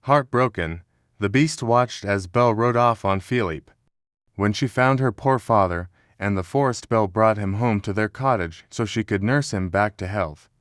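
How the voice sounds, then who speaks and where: A man narrating a scripted children's story in a measured, dramatic storytelling manner. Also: on the low side, fairly bright tone, no room sound, studio quality.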